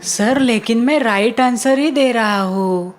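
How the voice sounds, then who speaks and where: A woman's voice: fairly high pitch, slow, faint music behind. A woman voicing an innocent, confident young schoolboy in a cartoon, speaking politely and with earnest insistence. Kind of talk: cartoon voice acting.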